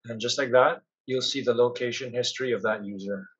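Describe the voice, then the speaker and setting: A man with a slightly husky, mid-pitched voice walks through a software demo step by step. His narration is instructional and explanatory, and the recording is a little dull. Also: steady tone, brisk. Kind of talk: instructional screen-share narration.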